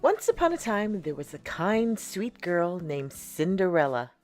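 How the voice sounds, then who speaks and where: A woman storyteller reading a children's picture book aloud in a slow, deliberate and expressive way. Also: lowish voice, faint music behind. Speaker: storyteller, channel host.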